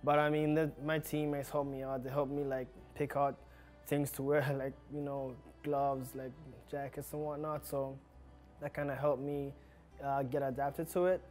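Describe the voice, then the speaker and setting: A man's voice: medium pitch, level tone, normal speed, darkish tone, faint music behind. A young man from Zambia, a soccer player talking casually in a documentary interview with many "like" fillers.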